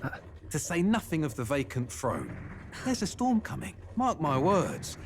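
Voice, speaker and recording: An actor playing a gruff male traveler who speaks in a grave, warning way. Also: fairly high pitch, huge pitch swings, unhurried, noisy background.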